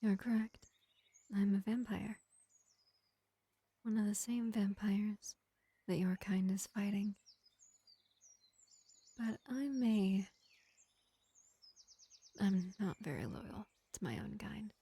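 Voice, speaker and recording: A woman playing a gentle, ancient pacifist vampire in an intimate fantasy roleplay, speaking in an unhurried, soothing half-whisper. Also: mid-range voice, husky, dry recording. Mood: soft, confiding. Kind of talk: slow intimate ASMR-style fantasy roleplay.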